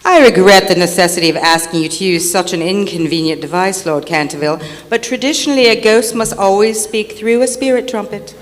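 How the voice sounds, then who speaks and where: A woman's voice: mid-range voice, close to an everyday voice. A woman playing a pompous, courteous royal official of Britain with a formal, officious manner in an old-time radio stage play. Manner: formal, officious, measured wording. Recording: echoey hall, faint noise.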